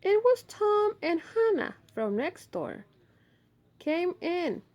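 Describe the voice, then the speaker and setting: A woman's voice: extremely high pitch, very animated, slow, dull audio. A woman hosting a children's read-aloud, narrating expressively and speaking to young listeners. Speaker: storybook reader, video host.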